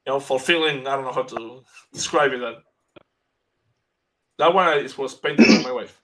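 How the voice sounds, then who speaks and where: A man with an Argentinian accent chatting as a guest artist, halting and restarting as he searches for English words. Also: medium pitch, a bit bright, low-quality recording. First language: Spanish. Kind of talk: casual chat.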